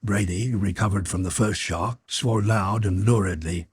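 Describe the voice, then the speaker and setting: A man's voice: lowish voice, moderate pace, husky, no room sound, clean studio sound. A man narrating an audiobook in a scripted literary storytelling style.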